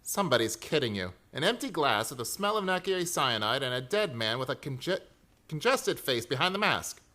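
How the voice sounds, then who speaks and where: A man's voice: medium pitch, big pitch swings, brisk, sharp tone. Man acting a brusque police inspector, swinging delivery. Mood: skeptical. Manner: curt, impatient, sceptical. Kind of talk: old-time radio drama acting. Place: theater stage, performed live.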